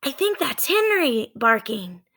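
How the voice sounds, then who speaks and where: A woman voicing an eager, adventurous young girl from a storybook, with expressive, earnest delivery. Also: high voice, unhurried, voice pushed up, full character voice. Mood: urgent, excited.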